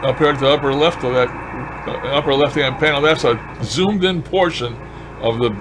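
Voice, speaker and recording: A man with a medium-pitched voice talks casually through a hobby demonstration. He is colloquial and enthusiastic, explaining things in plain folksy terms. A light hum and hiss sits behind him. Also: brisk. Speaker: ham radio hobbyist vlogger. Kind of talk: casual rambling demonstration of a radio gadget.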